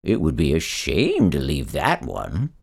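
Guy doing a sweet eager old grandpa, low and gentle, storybook read-aloud. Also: wildly swooping pitch, unhurried, vocal fry, breathy edge, full character voice. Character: kindly very old man, eager. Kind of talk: storybook reading.

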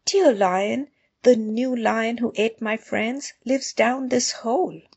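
A woman's voice: fairly high pitch, slow pace, a little mellow, slightly rough, muffled recording, voice pushed up, full character voice. A woman voicing a small, meek but clever rabbit, polite and pleading, in a storybook tale.

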